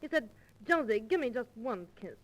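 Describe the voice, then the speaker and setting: Comic empress , female actor: highish, animated, sassy and flirtatious, vintage 1930s radio disc sound. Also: normal speed, lightly acted, rough recording. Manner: sassy, flip. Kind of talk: 1930s radio musical-comedy acting.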